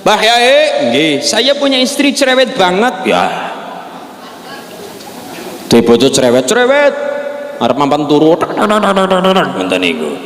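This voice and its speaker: A male preacher with a Javanese accent delivers a joking sermon, slowly and with a lively, swooping pitch. He acts out short exchanges between characters. His voice rings through a large hall with long reverb. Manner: jokey storyteller, acts out dialogues with voice shifts. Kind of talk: humorous Islamic sermon.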